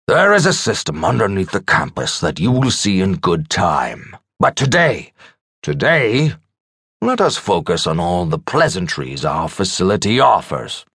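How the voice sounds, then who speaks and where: A man voicing a stern, dismissive senior asylum doctor who speaks with even, clipped authority in an audiobook. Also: deep voice, a little slow, breathy edge, no room sound, clean studio sound, heavily acted. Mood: stern, final. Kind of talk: audiobook character dialogue.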